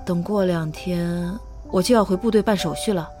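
A woman playing a gentle, caring young woman in an audio drama, soothing and affectionate. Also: on the low side, normal speed, over music, natural voice. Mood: warm, relaxed. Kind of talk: modern audio drama acting.

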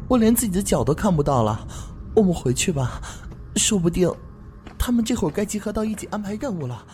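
A man's voice: sits high, animated, a little fast, a little mellow, faint music behind. An actor playing a playful, curious and eager young man in a Chinese fantasy radio drama. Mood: uneasy.

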